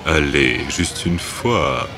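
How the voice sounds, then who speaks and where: A man playing a smooth-talking, suspiciously friendly townsman who charms children in an animated fairy tale. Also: very deep voice, very slow, a faint crowd, heavily acted. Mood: ingratiating.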